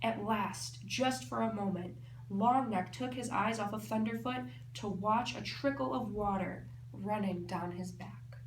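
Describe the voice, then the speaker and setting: A woman's voice: mid-range voice, unhurried. A woman teacher reading a storybook aloud expressively, explaining and thinking aloud for children. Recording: echoey room, faint background noise.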